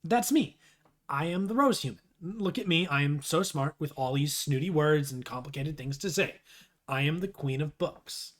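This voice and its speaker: Man playing a teasing, animated teenage boy. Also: fairly high pitch, normal speed. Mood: mock-snooty, teasing. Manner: casual, rambling, sarcastic.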